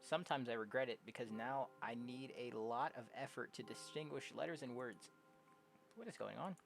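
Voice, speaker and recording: A young adult game streamer casually reading visual novel dialogue aloud, jokey and prone to loud exclamations. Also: medium pitch, normal speed, gravelly. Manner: animated, jokey, exclaims loudly. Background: game music.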